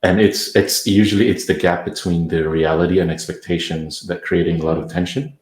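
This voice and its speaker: A very deep-voiced man from Indonesia speaks as a parenting coach in a podcast interview. He talks thoughtfully, often restarting and repeating words. The room gives his voice a noticeable echo. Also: steady tone, fairly quick, a little mellow.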